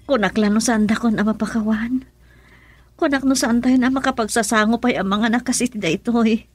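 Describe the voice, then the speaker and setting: Breathy, suffering mother. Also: fairly high pitch, fairly even intonation, brisk. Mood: weak, grateful. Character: ailing, suffering mother, tearful and forgiving. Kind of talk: emotional radio drama acting.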